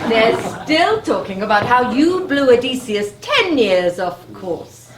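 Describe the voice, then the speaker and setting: An actress playing a polite, dutiful young goddess niece in a live stage play, courteous and measured. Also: female voice, on the high side, sing-song. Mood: admiring. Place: live in a playhouse, far from the mic. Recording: distant, echoey stage with clear noise.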